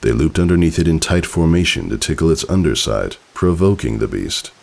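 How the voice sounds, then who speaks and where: A man reading a story aloud as narrator, in an expressive, theatrical, dramatic style. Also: rumbling low, a little fast, creaky. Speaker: fan fiction narrator.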